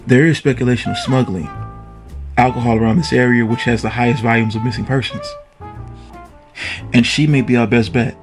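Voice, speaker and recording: An actor playing a courteous, earnest, slightly hesitant newly transferred police officer in a 1920s comic drama. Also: male voice, medium pitch, steady tone, fairly quick, pauses often, soft background music, close to an everyday voice. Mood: explaining. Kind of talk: comic dub character acting.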